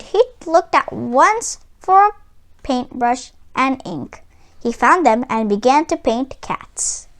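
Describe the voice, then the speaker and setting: A woman reading a children's story aloud as a host, in an expressive, dramatic way, drawing out words for emphasis. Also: sits high.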